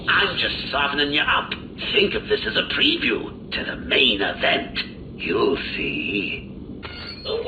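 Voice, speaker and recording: An actor playing a gleeful, sadistic clown villain in a video game, taunting and mocking with manic laughter. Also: male voice, high-pitched, reverberant, background noise, heavily acted. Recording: tinny distant loudspeaker sound with music.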